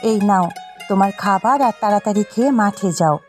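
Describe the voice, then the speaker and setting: A woman voicing a caring, gently nagging village housewife in a cartoon story, coaxing and insistent. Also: mid-range voice, fairly quick, faint music behind, close to an everyday voice. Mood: caring, domestic. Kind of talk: Bengali cartoon story dialogue.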